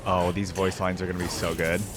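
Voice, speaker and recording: A man's voice: sits low, level tone, fairly quick, over music. A man reacting off the cuff in a casual, tentative way as the host of a reaction video.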